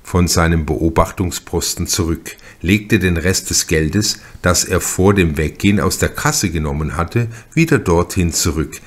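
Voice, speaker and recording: A man reading a crime novel as an audiobook narrator, in a measured, deliberate manner with careful pauses. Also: deep voice.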